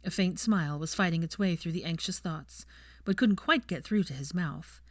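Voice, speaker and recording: Low-voiced woman narrating a story. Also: moderate pace, no room sound, clean studio sound. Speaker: fan fiction narrator.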